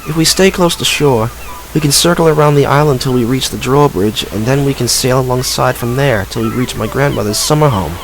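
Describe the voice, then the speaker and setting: Man acting earnest teenage boy sailor, medium-pitched. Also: brisk, a little hiss or hum, lightly acted, low-quality recording. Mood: determined. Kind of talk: amateur audio drama acting.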